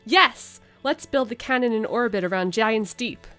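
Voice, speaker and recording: A woman's voice: fairly high pitch, normal speed, bright, forceful tone, faint music behind. A woman voicing a sincere, reflective female alien explorer, reading her written logs aloud. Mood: eager.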